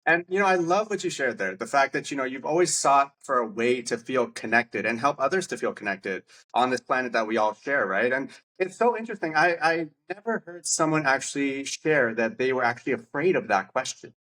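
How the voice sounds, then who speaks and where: A man's voice: medium pitch, expressive, a little fast, few pauses, sharp tone, low-quality recording. A middle-aged man hosting a podcast, friendly and chummy, laughing often. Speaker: podcast host. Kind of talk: casual interview talk. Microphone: podcast mic.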